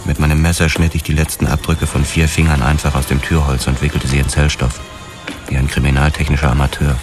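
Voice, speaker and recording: Very deep-voiced grim detective narrator. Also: male voice, flat delivery, music in the background. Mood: shaken, brooding. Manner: measured, clipped, grim storytelling. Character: haunted, hard-boiled male police detective recounting his investigation. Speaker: narrator and lead character in a radio drama. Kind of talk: scripted first-person noir crime narration, radio drama.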